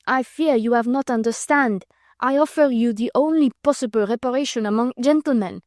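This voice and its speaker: A woman's voice: a little high, a little fast, darkish tone, no room sound, studio quality, voice pushed up, lightly acted. A woman reading aloud, voicing a proud, hot-tempered young French nobleman who speaks earnest broken English.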